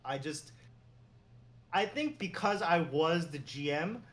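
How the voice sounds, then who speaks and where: A man from New York City, a former team general manager and agent, talking as a guest in a relaxed, meandering way with the odd chuckle. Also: fairly high pitch, slow pace. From New York City, USA. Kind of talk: casual interview conversation.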